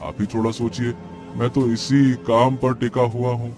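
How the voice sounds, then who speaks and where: Humble, hardworking cartoon tradesman played by a man, medium pitch, earnest, deferential and coaxing. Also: flat delivery, normal speed, lightly acted. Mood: pleading. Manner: polite, coaxing, pleading. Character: earnest humble male vegetable seller and eatery cook, pleading. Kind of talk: Hindi cartoon story voice acting. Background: background music.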